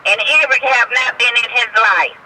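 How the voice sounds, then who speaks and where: A woman's voice: on the high side, moderate pace, sharp tone. A middle-aged woman in a heated phone conversation, indignant and insistent, repeating herself as she protests. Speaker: prank call target. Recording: phone line with faint noise.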